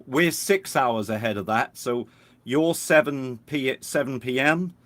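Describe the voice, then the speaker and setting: A man from the United Kingdom talks as a guest on a video call, telling his stories in a relaxed, unhurried way. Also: mid-range voice, no room sound. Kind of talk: casual interview chat. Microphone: webcam on a video call.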